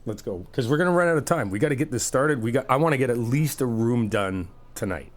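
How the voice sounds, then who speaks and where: A man's voice: medium pitch, animated, fast, close to an everyday voice. A man playing an easygoing, encouraging friend in a casual ASMR roleplay, chatting informally and explaining things patiently. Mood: casual, nudging. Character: easygoing, encouraging male friend helping paint an apartment.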